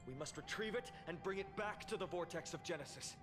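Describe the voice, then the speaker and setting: A man's voice: high-pitched, animated, brisk, over music. A man voicing a resolute, earnest warrior in a video game, urgent and duty-bound. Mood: grave, urgent.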